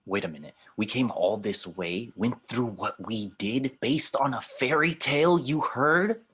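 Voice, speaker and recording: A man's voice: medium pitch, measured pace, fairly bright tone, slightly rough. A man playing a skeptical, wisecracking space gunslinger in an audio drama table read, blunt and sardonic. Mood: incredulous.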